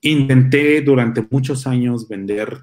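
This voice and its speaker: A man's voice: mid-range voice, measured pace, a little mellow. A young man with a Mexican Spanish accent, a security consultant talking loosely in a livestream, full of restarts and slang. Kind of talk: casual livestream talk. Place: small room indoors, seated at a desk.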